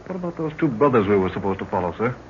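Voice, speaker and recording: A man's voice: on the low side, very animated, very fast. An actor playing an eager, respectful young man on a jungle expedition in a 1930s radio serial, inquisitive and deferential. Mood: curious. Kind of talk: 1930s radio adventure acting. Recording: muffled, noisy 1930s radio recording.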